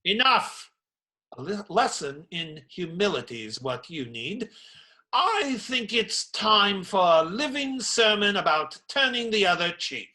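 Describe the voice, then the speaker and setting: A man acts out a burly, pious friar in a radio play, his voice high and bright, full of theatrical indignation. He delivers mocking little sermons at a slow, deliberate pace. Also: big pitch swings, exaggerated voice. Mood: threatening, sardonic.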